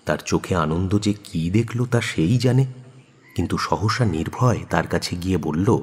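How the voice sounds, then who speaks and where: A man's voice: deep voice, normal speed, husky. A man narrating a scripted audio story expressively, in the role of narrator.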